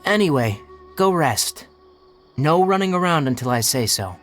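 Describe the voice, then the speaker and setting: A man's voice: on the high side, expressive, normal speed, a bit bright, soft background music. A man playing a composed, blunt young forest ranger and healer in a game, explaining things in an articulate, matter-of-fact way. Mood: calm, instructive. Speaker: forest watcher. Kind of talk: English game dub dialogue.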